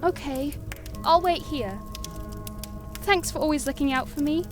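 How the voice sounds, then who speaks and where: A woman's voice: sits high, a little slow, music in the background. A woman playing a small, sweet, shy rabbit child in a fantasy game, meek and childlike. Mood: shy, trusting. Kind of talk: video game voice acting.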